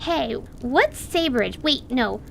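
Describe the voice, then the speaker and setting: A woman's voice: high voice, lively intonation, slow, vocal fry, a little hiss or hum, full character voice. A woman playing a curious, shrewd young girl with a blunt, inquisitive manner in an audio drama. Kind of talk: audio drama acting of a tabletop campaign.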